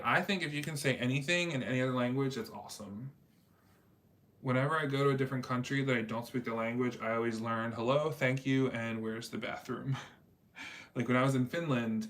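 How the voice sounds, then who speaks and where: A man talking in a relaxed, rambling way as he hosts a casual livestream, filling his sentences with small asides. His voice sits at an ordinary middle pitch for a man. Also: a little fast. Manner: rambling and chatty, many fillers. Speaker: livestream host reading chat. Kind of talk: casual chat.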